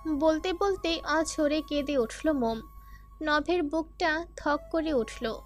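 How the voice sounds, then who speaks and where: A woman's voice: sits high, takes it slowly. A woman narrator reading a scripted dramatic story. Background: faint music.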